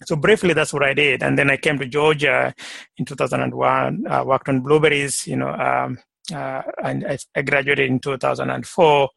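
Gravelly, husky middle-aged man from Kenya. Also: medium pitch, little pitch movement, fairly bright tone, dry recording. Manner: halting, many fillers and restarts. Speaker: guest, plant pathologist. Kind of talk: conversational interview.

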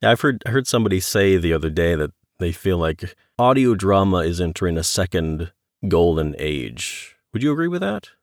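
Deep-voiced male podcast host. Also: very animated, moderate pace, no room sound, studio quality. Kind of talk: casual interview chat.

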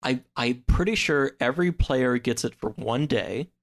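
A man chats casually in a medium-pitched voice, explaining as he goes. His phrasing is informal and loose, full of small hedges. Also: expressive, normal speed. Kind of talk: casual podcast chat, explaining.

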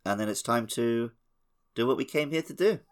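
A man's voice: mid-range voice, moderate pace. A man co-hosting a podcast, casually discussing a video game. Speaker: podcast co-host.

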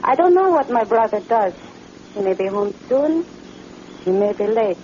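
Actress as a sultry, mellow frontier temptress. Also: female voice, on the high side, expressive, moderate pace, lightly acted. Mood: flirtatious. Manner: sultry, forward, languid. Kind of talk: old-time radio western acting. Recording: muffled, noisy vintage radio recording.

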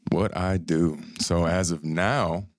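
A man with a very deep, low voice chats as a podcast guest. He tells stories in a rambling, self-interrupting way, recorded dry and close in a studio. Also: fairly even intonation, takes it slowly, no echo. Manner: rambling storyteller who restarts his sentences. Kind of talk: casual podcast chat.

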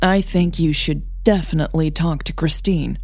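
A guarded, easily wounded woman in love, acted out by a female narrator, speaks in a tense, clipped way for an audio drama. Her voice is lowish and husky, in a slightly roomy sound. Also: fairly even intonation, normal speed, darkish tone, studio quality. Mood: bitter, angry.

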